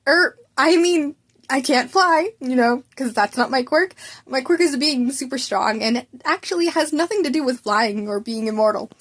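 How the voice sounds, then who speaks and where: A cheeky teenage schoolboy, voiced by a woman with a high, lifted voice. He is breezy and nonchalant about his superpower, quick to protest and excitable, as in an audio storybook. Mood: awkward, candid.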